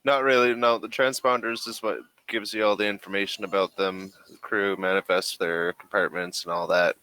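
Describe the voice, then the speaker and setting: Low-voiced man running a tabletop game. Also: fairly even intonation, normal speed, bright, forceful tone, clear, resonant tone, low-quality recording. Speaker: game master. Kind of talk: improvised narration of a tabletop role-playing game.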